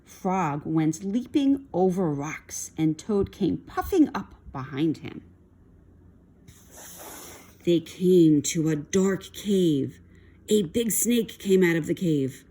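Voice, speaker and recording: A woman reads aloud to children at an unhurried pace. Her voice sits a little low and moves expressively up and down through the story. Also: animated, warm tone.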